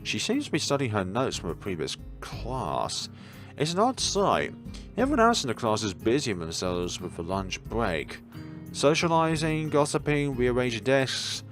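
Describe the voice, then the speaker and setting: A young man reading a visual novel aloud as a let's player, expressive but sometimes stumbling, with casual commentary of his own. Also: medium pitch, normal speed, faint music behind.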